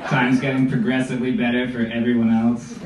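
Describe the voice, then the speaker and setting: American man, late twenties, nasal stuffy voice, flat deadpan stand-up, distant club recording with loud crowd noise. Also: medium pitch, fairly quick. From United States. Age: 20s. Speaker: stand-up comedian. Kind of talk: stand-up comedy set. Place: small comedy club, indoors, with audience. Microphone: handheld mic, recorded from the crowd. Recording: roomy audience phone recording from far off. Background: audience laughter.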